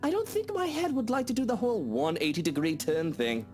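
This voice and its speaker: Smug rich lord of the manor for a visual novel, bossy and sardonic, really swingy pitch. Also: male voice, lively intonation, fairly quick, a little mellow, soft background music. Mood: smug, dismissive. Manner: haughty, sardonic, commanding. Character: arrogant, smug wealthy man, master of the mansion. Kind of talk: visual novel game voice acting.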